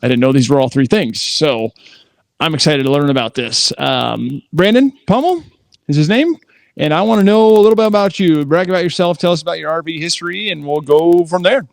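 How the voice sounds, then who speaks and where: Man hosting a podcast, kinda gravelly voice, super dry sound, bit of music behind. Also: a little high, a little fast, dry recording. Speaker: podcast host.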